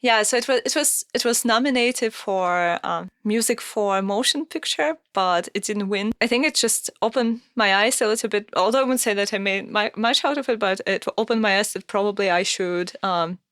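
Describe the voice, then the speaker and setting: A woman's voice: medium pitch, normal speed, hardly any pauses, a bit bright, clear, resonant tone, no room sound, studio quality. A woman in her thirties who grew up in Russia, talking casually as a guest musician, with many fillers and restarts. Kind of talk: casual interview conversation.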